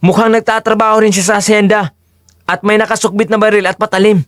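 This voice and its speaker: A man's voice: high-pitched, level tone, fast, fairly bright tone, dry recording, clean studio sound. A man playing a loyal, anxious male friend in an audio drama, speaking in an agitated, earnest way. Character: Carlito, loyal anxious young male friend working at church.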